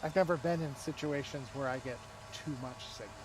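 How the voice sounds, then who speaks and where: A man's voice: medium pitch, fairly quick, noisy background. A man talking casually and technically outdoors, as a radio hobby expert helping a friend.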